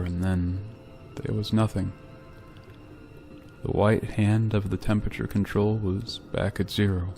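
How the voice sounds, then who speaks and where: Weary space pilot, male actor, radio drama. Fairly low, measured and somber, light music bed. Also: vocal fry, darkish tone. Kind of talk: radio drama acting and first-person narration.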